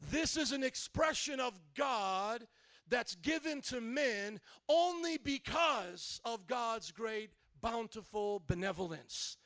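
A man's voice: very high-pitched, lively intonation, takes it slowly, a bit bright, no echo. A male pastor preaching with energy, drawing the congregation into call and response and stretching key words for emphasis. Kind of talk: sermon.

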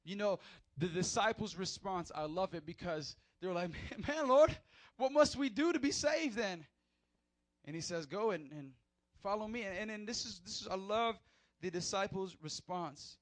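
A man preaching a sermon as pastor in a church, animated, acting out short skits in other voices. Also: high-pitched, normal speed, no echo.

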